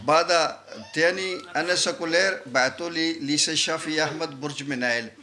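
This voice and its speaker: Quick-talking man recalling his past. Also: on the high side, pushed and bright. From Pakistan. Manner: quick, fragmented, with laughs. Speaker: interviewee. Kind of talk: casual recollection.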